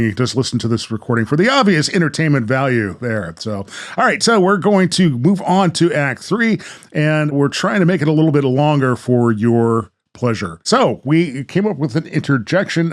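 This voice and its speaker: A man's voice: medium pitch, expressive, brisk. A man working as host and narrator of a radio play, moving between storytelling and casual talk.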